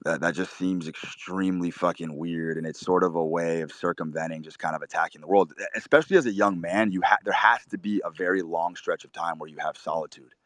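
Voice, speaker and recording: Very deep-voiced man, blunt and profane. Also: brisk, few pauses, no echo. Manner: forceful, swears constantly, preachy. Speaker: show host. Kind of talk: blunt profane motivational talk.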